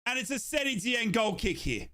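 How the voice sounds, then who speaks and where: A young Australian man giving excited live football commentary on a watchalong stream, shouting and repeating himself, his voice going hoarse. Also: very high voice, normal speed.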